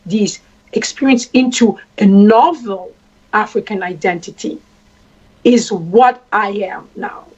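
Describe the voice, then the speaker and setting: A woman from Congo, a traditional queen, speaking at length in a deliberate way and repeating phrases for emphasis. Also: medium pitch, darkish tone, breathy edge. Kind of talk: spoken address. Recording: dull lo-fi sound.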